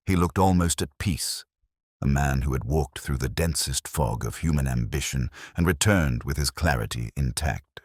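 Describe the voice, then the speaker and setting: Very deep-voiced male audiobook narrator. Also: no room sound, clean studio sound. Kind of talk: scripted first-person literary narration.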